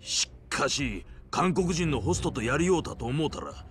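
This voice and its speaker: An actor playing a middle-aged yakuza captain in a video game, gruff and swaggering, with a rough Hiroshima accent and a scolding, loyal temper. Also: male voice, on the high side, animated, normal speed, soft background music, natural voice. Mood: scornful, cocky. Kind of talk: Japanese video game voice acting.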